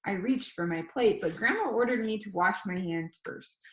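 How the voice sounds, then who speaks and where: A woman reading a children's novel aloud like a teacher, in an informal, expressive way. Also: mid-range voice, moderate pace. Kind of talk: storybook narration read aloud. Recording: echoey room.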